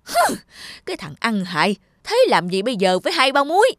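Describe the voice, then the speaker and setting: A woman voicing a greedy, stingy old male landlord in a cartoon, gruff and bossy. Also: a little high, wildly swooping pitch, a little fast, no echo. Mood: scolding. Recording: studio voice with loud music.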